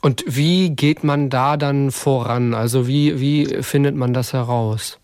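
A man's voice: medium pitch, a little slow, a little mellow, dry recording, studio quality. A man co-hosting an interview podcast, asking questions in a casual, colloquial way. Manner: informal, colloquial wording.

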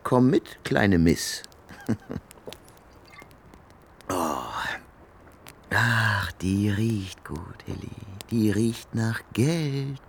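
A man's voice: fairly low pitch, vocal fry, a little hiss or hum, full character voice. An actor playing a greedy, scheming homeless man in a German radio crime drama, crooning and muttering with sly glee. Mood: gleeful, boastful. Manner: slow, crooning, swinging into muttering and chuckles.

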